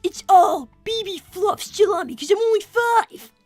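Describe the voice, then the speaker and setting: Woman as squeaky, pompous five-year-old fox boy. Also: moderate pace, a little mellow, no echo, soft background music. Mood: indignant. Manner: pompous, formal, theatrical. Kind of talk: improvised character roleplay on a livestream.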